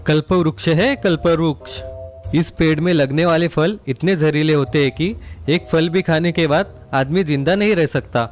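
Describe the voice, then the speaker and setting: Man playing greedy young village schemer, highish voice. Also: moderate pace, faint music behind, natural voice. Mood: gloating. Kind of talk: acted moral story dialogue.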